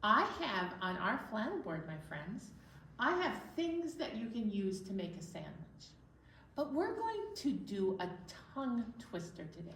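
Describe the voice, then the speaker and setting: A woman's voice: mid-range voice, sing-song, unhurried, fairly bright tone. A woman hosting a children's storytime, giving simple, playful instructions to young children. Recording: roomy, distant mic with background noise.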